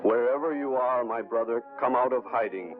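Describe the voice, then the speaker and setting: An actor playing a condemned revolutionary, solemn and declamatory, in 1950s film melodrama style. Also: male voice, medium pitch, moderate pace, lightly acted. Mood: grave, impassioned. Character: condemned male revolutionary, remorseful, defiant to the end. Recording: tinny old film soundtrack under loud music.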